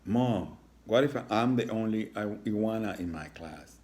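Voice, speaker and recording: A man reads the part of a shy little iguana boy in a children's storybook. He sounds anxious and hesitant, asking questions, the pitch lifted a little. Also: expressive, measured pace, a little mellow. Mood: worried.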